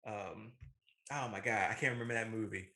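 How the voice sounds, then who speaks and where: A man's voice: lowish voice, fairly even intonation, fairly quick, sharp tone. A man co-hosting a casual podcast, talking emphatically and rambling as he corrects himself. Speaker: podcast co-host. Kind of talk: casual animated movie discussion.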